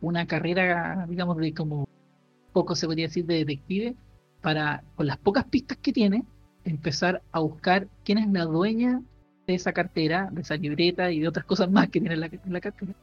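A man's voice: sits high, faint music behind. Rambling man with dull podcast audio. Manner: halting, many fillers and restarts while explaining. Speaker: podcast co-host. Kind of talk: casual chat recommending a book.

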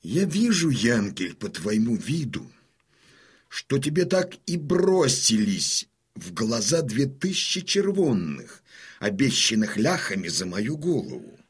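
An actor playing a stern, proud old Cossack colonel in a radio drama, husky and imperious. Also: male voice, medium pitch, big pitch swings, full character voice. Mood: wry, probing. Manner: imperious, weighty, deliberate.

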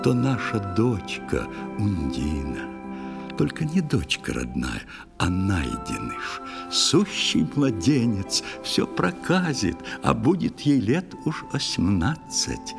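A man's voice: lowish voice, huge pitch swings, slow pace, gravelly, exaggerated voice. Man acting a stern old fisherman over music, swooping voice. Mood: apologetic, fond. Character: stern elderly fisherman, gruff but fond foster father. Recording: music under the voice.

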